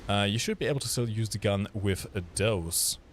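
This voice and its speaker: A man's voice: lowish voice, normal speed, slight background noise. A man from Germany with a German accent, hosting a game livestream with casual, explanatory commentary and jokey chat.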